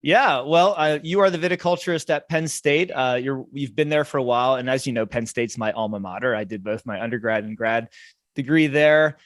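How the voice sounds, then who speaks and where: American male host, middle-aged, mid-pitched, casual, dry close recording. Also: fairly quick, no echo. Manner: friendly, chatty, many fillers. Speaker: podcast host. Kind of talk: casual interview hosting.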